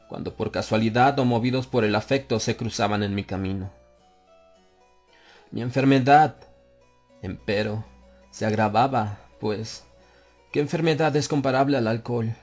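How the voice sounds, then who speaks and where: A man narrating a dark literary tale as an audiobook, in a grave, measured first-person delivery. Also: fairly low pitch. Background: soft music and ambient effects.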